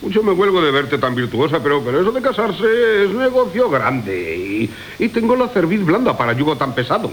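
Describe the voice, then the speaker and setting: An actor playing a swaggering, wheedling rogue suitor in a theatrical radio comedy, declaiming in Castilian Spanish with sly muttered asides. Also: male voice, fairly high pitch, big pitch swings, moderate pace, hardly any pauses. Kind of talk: theatrical Golden Age comedy radio acting. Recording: hissy 1980 radio drama recording.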